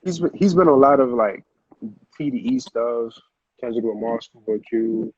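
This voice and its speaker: A man's voice: medium pitch, moderate pace, husky, no echo, lo-fi sound. An American man chatting casually as an interview guest, with slangy phrasing and a habit of repeating himself. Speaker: music producer, interview guest.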